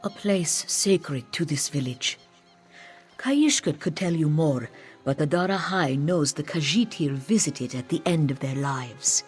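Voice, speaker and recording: Woman as low-voiced solemn cat-folk priestess. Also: measured pace, darkish tone. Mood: grave, earnest. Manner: formal, speaks of self in third person. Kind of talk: scripted fantasy game dialogue. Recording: background music under the voice.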